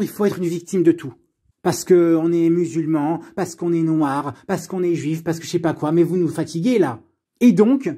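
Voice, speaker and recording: A man's voice: high-pitched, warm tone, husky. A man from France delivering an unscripted personal rant, blunt, sarcastic and exasperated, with plenty of swearing.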